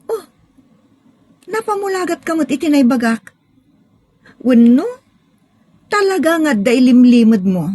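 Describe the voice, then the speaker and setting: A woman's voice: high-pitched, big pitch swings, a little slow, long pauses, mellow, no room sound. A woman playing an insistent, suspicious young bride-to-be daughter in an audio drama. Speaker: drama actor. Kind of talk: Ilocano audio drama acting.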